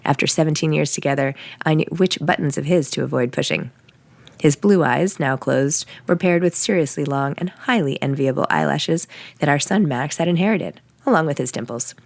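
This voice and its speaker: An American woman with a low voice speaks as an author sharing her own work. The recording is very dry, and her delivery is that of casual talk and personal narration. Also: lively intonation, normal speed, gravelly, dry recording. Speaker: author and podcast host. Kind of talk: casual announcements and first-person novel reading.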